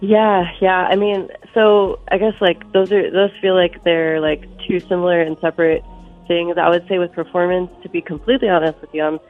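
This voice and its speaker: A woman's voice: on the low side, normal speed, faint music behind. Stuffy-nosed woman on a tinny phone line. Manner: soft-spoken, relaxed, many fillers. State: stuffy from a cold. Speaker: musician guest. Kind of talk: live radio phone interview.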